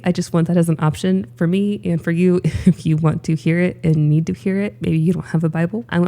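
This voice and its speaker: A woman with a low, mellow voice and a light Texan accent talks straight to the camera from her home. She explains things in an earnest, chatty way, thinking aloud as she goes. She sounds like a woman in her thirties hosting her own study series. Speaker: Bible study video host. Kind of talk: casual explanatory talk to camera. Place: at home, seated by a dog door.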